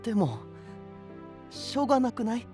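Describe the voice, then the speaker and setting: 物静かで真面目な若い海の王子. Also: male voice, extremely high pitch, very animated, moderate pace, soft background music. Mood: wistful. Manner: soft, introspective, earnest. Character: gentle young male prince of a sea kingdom. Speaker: voice actor. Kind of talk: anime voice drama.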